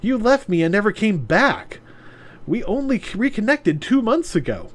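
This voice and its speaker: A man voicing a calm, resigned young man who speaks in a subdued way. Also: high voice, moderate pace, pitched above the performer's own voice.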